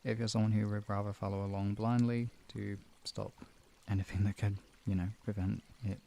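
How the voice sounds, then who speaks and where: Male relaxation streamer, deep soft-toned voice with plenty of fry, informal and gently instructing. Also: flat delivery, a little fast, a little hiss or hum. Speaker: streamer, guided relaxation host. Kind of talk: casual chat moving into guided relaxation and hypnosis instruction.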